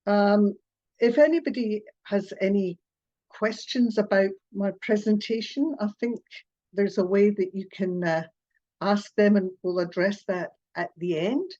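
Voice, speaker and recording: An older woman from Scotland with a Scottish accent softened by Australian tones, a therapist presenting a webinar with hesitant, apologetic talk full of fillers. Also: medium pitch, a little slow. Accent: Scottish, partly Australian. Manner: hesitant, apologetic, with filler sounds and little laughs. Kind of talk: online presentation. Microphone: video call. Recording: muffled video call audio.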